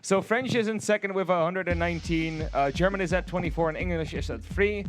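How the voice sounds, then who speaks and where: Higher-voiced male stream host. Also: brisk. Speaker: marathon host reading donations. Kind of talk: live stream hosting.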